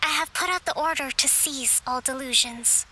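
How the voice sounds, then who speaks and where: A woman's voice: high-pitched, moderate pace, pushed and bright, slight background noise, low-quality recording. An actress playing a composed, dutiful army leader in a game cutscene, speaking formally and decisively with concern for her troops. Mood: grave. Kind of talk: video game cutscene voice acting.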